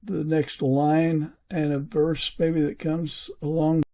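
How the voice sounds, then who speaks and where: A man with a soft-edged, mellow voice pitched a little above average. He hosts in a casual conversational way, easing tentatively into questions and agreeing in brief replies. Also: flat delivery, slow. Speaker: podcast host interviewing a guest. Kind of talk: casual interview chat.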